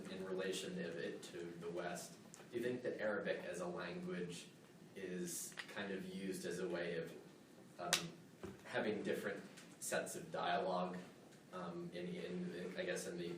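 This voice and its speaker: Deep-voiced man asking from far off. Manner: hesitant, rambling, many fillers. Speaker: audience questioner. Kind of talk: asking a question from the audience. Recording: distant, very echoey hall with noise.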